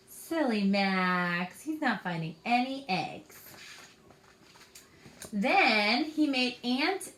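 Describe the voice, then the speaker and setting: A woman's voice: medium pitch, a bit bright. A woman reading a story aloud expressively, as if to young children. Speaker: storytime reader. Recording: roomy echo.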